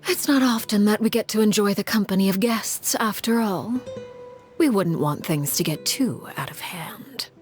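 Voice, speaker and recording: A woman acting a cold, commanding leader in a video game, speaking in a measured, composed, menacingly formal manner. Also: mid-range voice, faint music behind, natural voice. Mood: calm, menacing. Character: cold, commanding woman, stern leader called Father by her children.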